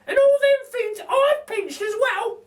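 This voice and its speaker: A man voicing a chatty, excitable working-class lady burglar with exclaiming, colloquial speech in a children's storybook. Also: very high-pitched, unhurried, pitched above the performer's own voice. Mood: remorseful. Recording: distant microphone.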